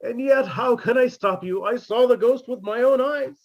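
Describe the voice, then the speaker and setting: A man playing a melodramatic, lovelorn, self-pitying maestro with a husky voice in a 1950s-style radio comedy. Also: very high voice, normal speed, highs cut off, full character voice. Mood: plaintive. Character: melodramatic, lovelorn, down-at-heel male maestro, mournfully comic.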